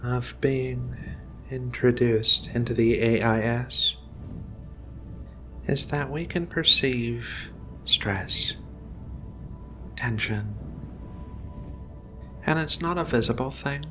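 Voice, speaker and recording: Slow, soothing man in bright ASMR roleplay. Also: medium pitch, faint music behind. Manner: soothing, unhurried, reassuring. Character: gentle furry alien researcher, soothing and philosophical. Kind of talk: soft-spoken ASMR roleplay.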